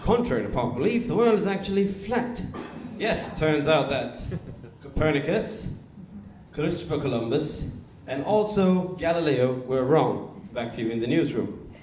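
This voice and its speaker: A male stand-up comedian voicing a grandly authoritative British man with a British accent, pompous and deadpan, in an echoey club recording. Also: fairly high pitch, moderate pace, crowd noise, natural voice.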